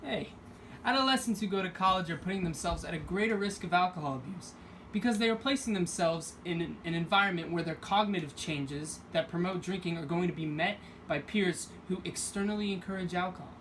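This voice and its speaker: A young woman student reading her part of a class presentation in a measured way. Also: fairly low pitch, slight background noise, rough recording. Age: young adult.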